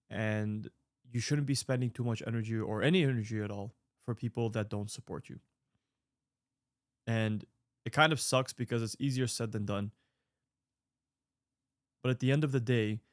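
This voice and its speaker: A man in his late twenties talks straight to his audience, offering sincere life advice. He rambles, restarts and fills gaps as he goes, his voice sitting at an ordinary middle pitch. The sound is dry and close, with no room echo. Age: 20s. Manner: earnest, rambling with fillers and restarts. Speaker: online creator answering viewer mail. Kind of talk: casual advice monologue.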